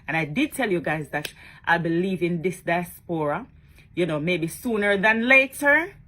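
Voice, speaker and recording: A woman's voice: lowish voice, animated, moderate pace, bright, forceful tone. A woman talking casually to her followers in a vlog, chatty and emphatic, often tagging on questions. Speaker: social media blogger.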